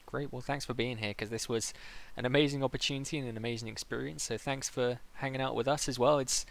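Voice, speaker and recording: A man hosts a live stream in a casual, conversational style. His voice sits at an ordinary middle pitch with a good deal of creak and fry in it. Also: fairly even intonation, fast. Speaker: live stream host and interviewer. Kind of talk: casual livestream interview chat.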